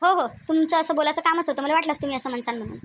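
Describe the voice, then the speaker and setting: Woman acting a shrill, fast-talking nagging village wife. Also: fairly bright tone, a little hiss or hum. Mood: scolding. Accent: Varhadi Marathi of Vidarbha. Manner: complaining, scolding, rhetorical questions. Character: sharp-tongued, nagging middle-aged village wife and mother-in-law. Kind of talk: comic cartoon dialogue.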